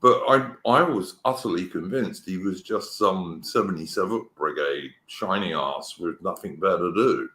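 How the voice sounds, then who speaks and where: A man's voice: low voice, normal speed, hardly any pauses, a bit bright. A man co-hosting a casual political chat, opinionated and rambling, often restarting his sentences. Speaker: podcast co-host. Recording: echoey room.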